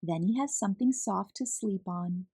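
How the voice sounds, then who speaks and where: A woman's voice: mid-range voice, normal speed, warm tone, slightly rough, no room sound, clean studio sound. A woman voicing a sweet, kindly, doting old granny in a children's storybook.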